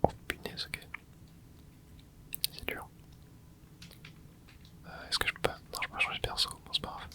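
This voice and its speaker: An ASMR gaming host whispering a running commentary while playing, muttering quiet reactions into a close microphone. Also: moderate pace, long pauses.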